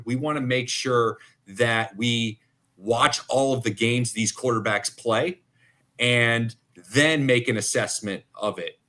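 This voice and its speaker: A man's voice: medium pitch, a bit bright. A man co-hosting a sports podcast, talking casually and thinking aloud with plenty of ums and restarts. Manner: thoughtful, halting with fillers. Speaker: podcast co-host and football analyst.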